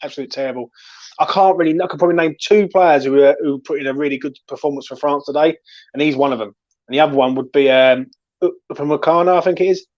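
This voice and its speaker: A man's voice: fairly high pitch, steady tone, fast talker, darkish tone, muffled recording. A man hosting casual football chat, emphatic and opinionated, repeating himself to stress his points. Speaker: host of a football discussion show.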